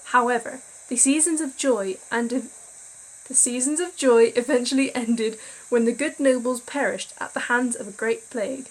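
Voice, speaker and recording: A tired young woman reads a game's eerie backstory aloud, chatting between passages. She trips over words and laughs them off in a slightly high voice. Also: fairly even intonation, moderate pace, poor audio. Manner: chatty, self-deprecating, stumbles over words while reading. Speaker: gaming video creator. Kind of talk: reading a visual novel aloud, casual commentary.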